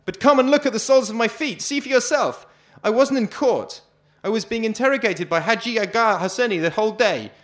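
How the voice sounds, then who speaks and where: A man reading a story aloud, voicing a desperate blindfolded male prisoner who shouts frantic pleas. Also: high voice, fairly quick, few pauses, pitched above the performer's own voice.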